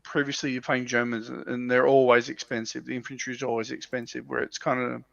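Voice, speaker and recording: A man's voice: medium pitch, flat delivery, fairly quick, creaky, fairly bright tone, no room sound, dull audio. A man chatting casually as a panelist on a livestream, talking in a hesitant way that often doubles back on itself. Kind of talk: casual chat. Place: livestream call.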